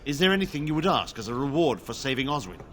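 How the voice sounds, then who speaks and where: A man's voice: mid-range voice, sing-song, quick pace, a bit bright, a little hiss or hum. An actor playing a middle-aged nobleman in a fantasy video game, a proud, grateful father who speaks formally and with emphasis. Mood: bitter anger.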